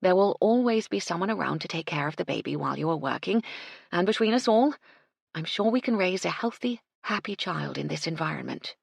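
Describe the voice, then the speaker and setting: A woman voicing a kindly, firm lady in charge of a household, speaking in a measured, genteel and reassuring manner. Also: on the low side, expressive, vocal fry, breathy edge, no room sound, voice pushed up, heavily acted.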